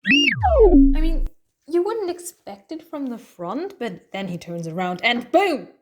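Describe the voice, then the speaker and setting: Casting: actress as breezy, cheeky female alien adventurer for audio drama, very animated intonation, faint music underneath. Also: sits high, slow, heavily acted. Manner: chatty, quirky, breezy. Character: playful, cheeky, restless alien time traveller woman.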